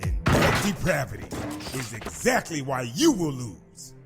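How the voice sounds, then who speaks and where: A man's voice: mid-range voice, huge pitch swings, unhurried. A man playing a stern, righteous Jedi master in a comic dub, speaking in a grave, resolute, formal manner. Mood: defiant. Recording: faint music behind.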